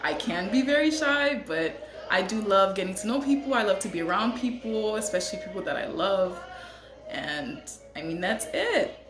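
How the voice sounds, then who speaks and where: A woman's voice: medium pitch, lively intonation, normal speed. Young woman hairstylist just chatting, giggly, echoey room, music playing. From United States. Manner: chatty, laughs often. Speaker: hairstylist and co-host. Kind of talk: casual chat.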